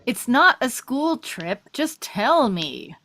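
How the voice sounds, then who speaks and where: A woman's voice: on the high side, big pitch swings, slow pace, a bit bright, exaggerated voice. A woman reading an impatient, grumbling male soldier at a script table read. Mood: impatient, grudging.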